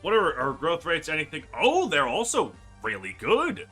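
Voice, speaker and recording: A man commentates a game playthrough in a very bright, forceful voice. His pitch swings widely as he exclaims and stretches words for emphasis. Game music plays quietly behind him. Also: wildly swooping pitch, moderate pace, breathy edge. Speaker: gaming video host. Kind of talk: playthrough commentary, reading game dialogue aloud.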